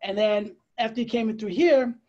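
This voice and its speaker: A middle-aged man with an American accent explains slides as an air traffic controller, conversational and a bit halting, with pauses and asides. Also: high voice, a little mellow, muffled recording. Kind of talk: informal slide presentation, explanatory. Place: indoors, on a video call.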